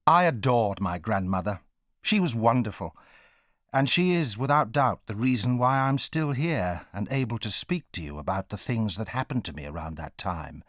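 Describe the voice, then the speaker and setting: An actor playing a grown man who recalls his childhood in measured, grave audiobook narration. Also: on the low side, expressive, a bit bright, dry recording, clean studio sound. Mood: fond, ominous.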